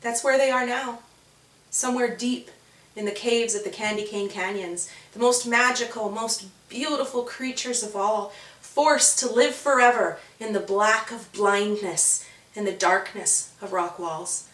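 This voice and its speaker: A woman narrating a scripted children's story in a measured, dramatic storytelling manner. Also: mid-range voice, a bit bright. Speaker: author and narrator. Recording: roomy, distant from the mic.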